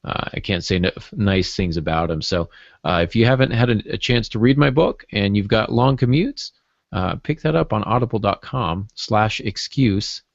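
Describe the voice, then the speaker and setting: A man's voice: lowish voice, expressive, normal speed, gravelly, no echo. A man chatting casually as a podcast co-host, with a wry, joking manner. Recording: dull, muffled lo-fi audio.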